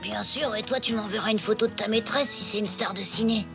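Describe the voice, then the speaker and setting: A woman voicing a loyal, easygoing schoolboy best friend with a creaky voice in an animated comedy. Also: medium pitch, a little fast, natural voice. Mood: fond. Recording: music under the voice.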